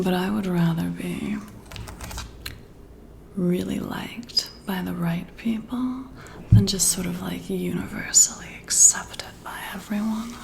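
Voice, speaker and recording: A woman acting out a friendly female boss in an ASMR roleplay, confiding and teasing after hours. Her voice is a soft half-whisper with very heavy vocal fry. She talks slowly and intimately, as if to one close colleague. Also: mid-range voice. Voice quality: breathy, whispery. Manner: slow, intimate, conversational. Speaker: ASMR performer.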